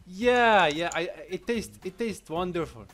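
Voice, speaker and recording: A man's voice: high-pitched, animated, unhurried, a bit bright, faint music behind. A young man, mockingly chatting with strangers on a video call from his room, using a headset mic. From Algeria. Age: young adult. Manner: teasing, mocking. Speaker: host. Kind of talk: prank chat with strangers. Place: indoor home room. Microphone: gaming headset.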